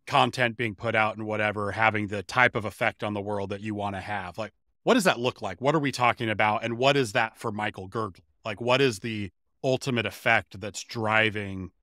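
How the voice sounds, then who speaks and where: Bright-toned low-voiced podcast host. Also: male voice, fairly quick, dry recording, clean studio sound. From San Diego. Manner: curious, jokey interviewer. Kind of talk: casual podcast conversation.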